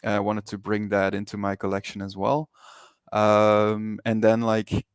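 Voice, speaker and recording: A man from Belgium with Dutch as his first language and a Dutch-speaking Belgian accent, chatting casually as an interview guest in a hesitant, halting way. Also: fairly low pitch, monotone, clean tone, no echo. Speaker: interview guest, digital artist.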